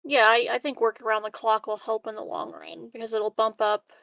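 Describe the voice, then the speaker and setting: A woman's voice: on the high side, level tone, fairly quick, a bit bright, no room sound. A woman playing a tabletop game, casually reasoning through strategy.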